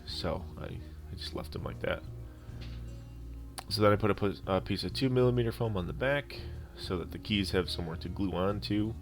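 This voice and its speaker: A man with a deep voice gives a casual voice-over for a hands-on prop-making tutorial. He explains things in an unscripted, halting manner, as a hobby host would. Instrumental music plays behind his voice.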